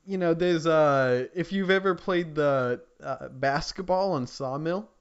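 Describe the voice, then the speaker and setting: Smooth-voiced man, slightly dull lo-fi sound. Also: fairly high pitch, measured pace. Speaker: podcast co-host. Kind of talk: casual gaming chat.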